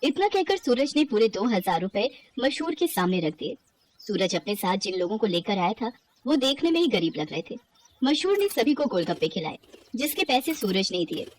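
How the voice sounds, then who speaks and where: A woman giving expressive scripted narration for a cartoon moral story. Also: medium pitch, moderate pace, slight background noise, rough recording.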